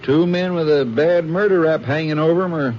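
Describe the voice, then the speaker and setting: A man plays a practical county sheriff who talks things through in a plain, thoughtful way with a somewhat higher voice. The sound is muffled old radio with faint hiss. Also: fairly quick, lightly acted.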